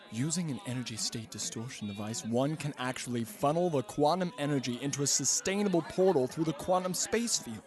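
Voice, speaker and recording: Pompous mad-scientist professor, highish voice. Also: male voice, a little mellow, breathy edge. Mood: lecturing. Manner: pompous, grandiose lecturing. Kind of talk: comedic radio drama acting.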